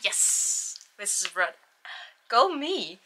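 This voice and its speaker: A woman's voice: mid-range voice, big pitch swings, very slow, sharp tone, slight background noise, low-quality recording. A young woman from Iceland with an Icelandic accent, chatting casually and a little self-deprecatingly about books she has read, with frequent restarts. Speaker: book channel host. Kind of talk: casual book review vlog.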